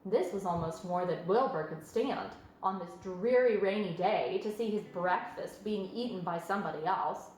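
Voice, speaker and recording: A woman reading a children's book aloud as an expressive storyteller. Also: mid-range voice, normal speed, few pauses. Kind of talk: expressive scripted narration. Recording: very echoey room with faint noise.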